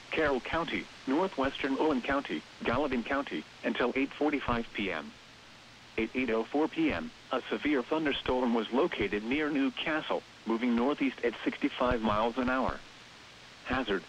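Over a dull, band-limited radio signal with steady hiss, a man with a mid-pitched, machine-like voice reads weather bulletins. His phrasing is even and impersonal, in the manner of an automated announcer. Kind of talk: scripted weather bulletin and warning readout. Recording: hissy, dull radio broadcast with noise.

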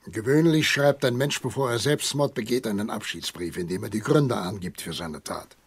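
Radio drama: old country doctor, tired, grave, probing. Husky tone, dry studio sound. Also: male voice, lowish voice, moderate pace, no echo. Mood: firm, accusing. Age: older.

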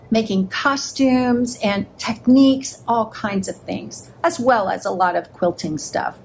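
A woman in her fifties filming a casual vlog on a phone at arm's length, gushing with excitement as she walks through an expo hall and chats in a hotel room. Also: a little high, a little hiss or hum. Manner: enthusiastic, gushing exclamations. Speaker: sewing vlogger.

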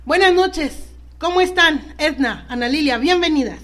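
A woman's voice: high-pitched, normal speed. A Mexican woman hosting a live talk show, chatty and informal as she presents and interviews guests. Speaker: radio show host.

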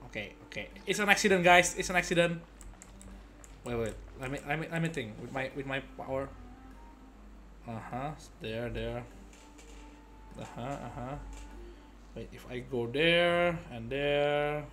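A man's voice: medium pitch, measured pace, long pauses, pushed and bright, slight background noise. A young man doing casual, playful gameplay commentary, often muttering and repeating phrases to himself. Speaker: game streamer. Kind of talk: casual let's play commentary. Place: indoor room at a gaming desk. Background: game music, keyboard clicks.

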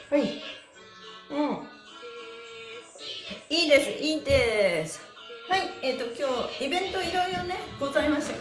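A high-voiced middle-aged woman teaches a stretching class in a cheerful, encouraging way. She calls out moves and chants in a sing-song while exercising. The room is echoey, with music playing behind her. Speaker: stretching instructor, pantomime performer. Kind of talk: live-streamed exercise class with counted chants. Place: small bare-walled indoor studio. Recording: roomy echo with music behind.

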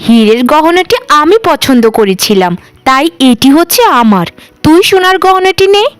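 Haughty, high-pitched young sister. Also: female voice, sing-song, moderate pace, no room sound, soft background music. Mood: bossy, vain. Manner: bossy, taunting, sharp-tongued. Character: haughty, quarrelsome middle daughter of a village landlord. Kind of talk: cartoon story acting.

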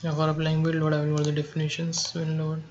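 A man narrating a step-by-step software tutorial in short, halting phrases, as an instructor. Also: a little high, monotone. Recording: dull lo-fi mic, keyboard clicks, noise.

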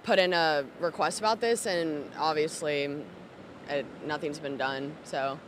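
A woman's voice: low voice, steady tone, measured pace. A young woman, a resident, answering a reporter's questions casually outdoors at night, with lots of "um" and "like". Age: young adult. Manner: casual, with fillers like "um" and "like". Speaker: interviewed resident. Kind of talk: casual street interview. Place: flooded street at night, outdoors. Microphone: reporter's handheld mic held out to her. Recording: faint street noise outdoors.

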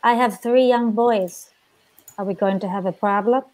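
A woman's voice: mid-range voice, normal speed, a little mellow, close to an everyday voice, low-quality recording. An actress playing a blunt, strict immigrant mother in a sitcom table read over a video call, speaking in an emphatic, matter-of-fact way. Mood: bossy, suspicious. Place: indoors at home on a video call. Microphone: video call, earphones.

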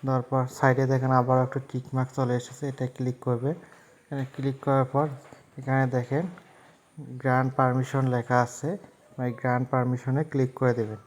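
Even-toned man giving instructions. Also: medium pitch, fast. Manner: instructional, repetitive step-by-step phrasing. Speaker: tech tutorial host. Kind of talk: step-by-step phone app tutorial narration.

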